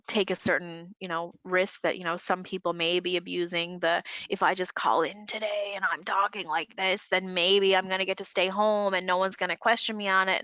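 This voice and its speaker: A congested woman explains workplace rules over a video call, her voice lowish yet bright and forward. She talks conversationally, leaning on "you know" and "um". The sound is dry and slightly muffled. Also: clear, resonant tone, dry recording. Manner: filler-heavy, conversational, many "you know"s. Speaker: employment lawyer panelist. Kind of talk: webinar discussion, advice. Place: home, remote call.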